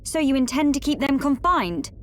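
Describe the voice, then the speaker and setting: A woman's voice: sits high, expressive, moderate pace, slight background noise. A woman voicing an earnest young princess, formal and regal, in a video game cutscene. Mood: pleading. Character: earnest, proud young princess weighed by doubt.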